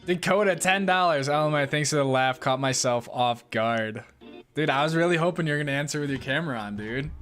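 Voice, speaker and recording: A young man hosting a live stream, reading chat and answering in a relaxed, casual way, laughing often and swearing now and then. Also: fairly high pitch, fairly quick, faint music behind. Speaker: livestream host reading chat.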